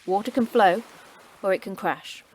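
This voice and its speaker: A woman's voice: medium pitch, moderate pace, slight background noise, natural voice, low-quality recording. A woman playing a terse, sardonic station manager. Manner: curt, dry, sardonic. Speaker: station manager of a secret facility.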